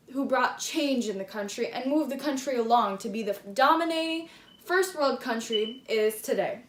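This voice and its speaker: A woman hosting a mock game show for a school project, with a showy announcer delivery, in a roomy echo. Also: fairly high pitch.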